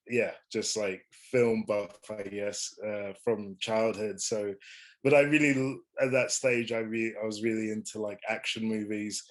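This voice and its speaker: A young man from Rwanda, a filmmaker guest speaking on a remote call, relaxed and hesitant with many fillers. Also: fairly low pitch. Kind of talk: interview. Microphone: remote call from London.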